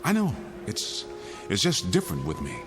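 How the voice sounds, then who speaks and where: An actor playing a brooding, fatalistic condemned prisoner, intense and dwelling on each detail in mid-century television drama style. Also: male voice, on the low side, huge pitch swings, moderate pace. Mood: weary, agitated. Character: condemned man haunted by a recurring dream, brooding, fatalistic. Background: dramatic music.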